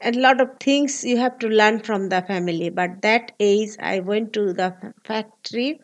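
A woman in her forties from Bangladesh with a Bangladeshi accent, speaking earnest, halting English as an interview guest. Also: mid-range voice. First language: Bengali. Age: 40s. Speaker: guest, labor activist.

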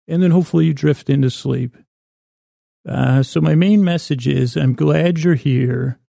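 A man with a creaky voice hosting a bedtime podcast in a meandering, halting monologue full of unfinished sentences. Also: fairly high pitch, dark tone, dry recording, clean studio sound. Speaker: podcast host.

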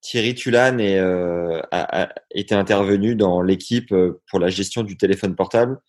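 A man's voice: sits low, normal speed, a little mellow. A man interviewing a young athlete, informal and teasing. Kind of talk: casual, joking interview.

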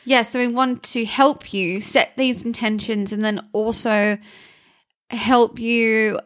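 A woman's voice: on the high side, steady tone, slow pace, fairly bright tone. A young adult woman co-hosting a podcast, speaking earnestly and encouragingly in casual chat. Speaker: podcast co-host.

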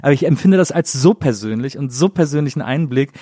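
A man's voice: mid-range voice, lively intonation, fast talker, dark tone, slightly rough, no room sound, studio quality. A middle-aged man hosting an interview in his living room, talking with enthusiasm and rambling through many fillers. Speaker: host. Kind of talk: casual interview chat.

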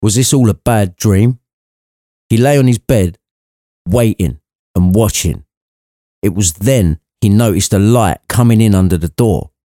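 A man's voice: on the low side, a little slow, pauses often, dark tone, no room sound, studio quality. A man reading an irreverent storybook narration, cheeky and conversational. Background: background music.

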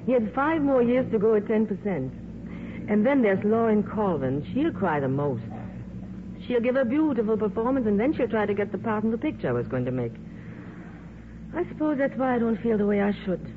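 An actress playing a world-weary, glamorous film star in a 1950s radio drama, with a wry, reflective, theatrical manner. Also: female voice, medium pitch, big pitch swings, brisk, lightly acted. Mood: wry, resigned. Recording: muffled, noisy 1950s radio recording.

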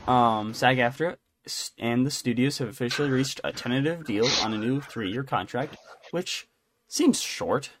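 A man's voice: medium pitch, normal speed. Male podcast co-host, mid-range voice, casual rambling news chat, jokey, some noise behind. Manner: rambling, jokey, self-interrupting. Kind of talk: casual chatty entertainment news commentary.

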